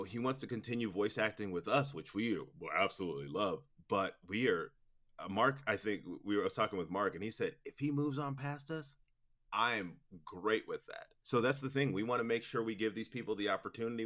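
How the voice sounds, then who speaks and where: A man's voice: on the low side, fairly bright tone. A man speaking casually as an interview guest, rambling through stories with slangy asides. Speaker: interview guest, audio drama producer.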